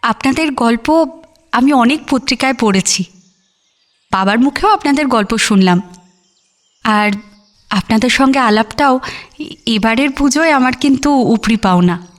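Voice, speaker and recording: A woman's voice: fairly high pitch, animated, measured pace, pauses often. A woman playing a friendly, talkative married daughter, effusive in her audio drama dialogue. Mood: chatty, earnest.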